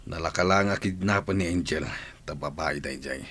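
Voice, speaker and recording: Radio-drama villain, male actor doing a very deep, husky plotting thug. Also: fairly quick, a bit bright, exaggerated voice. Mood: scheming. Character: scheming male thug planning a kidnapping.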